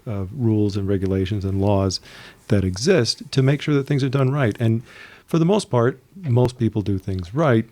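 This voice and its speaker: A man in his forties, a guest on a podcast, talking casually in a measured way with many fillers and restarts. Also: fairly low pitch, dark tone, dry recording. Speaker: podcast guest, VP of operations and compliance. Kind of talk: casual interview talk.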